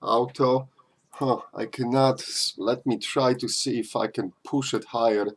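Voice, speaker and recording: A man's voice: medium pitch, fairly even intonation, unhurried, husky. A man from Poland, hosting a casual live-stream Q&A and answering chat questions in a loose, chatty way full of fillers. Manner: loose and chatty, frequent "uh" and "you know", slightly non-native phrasing.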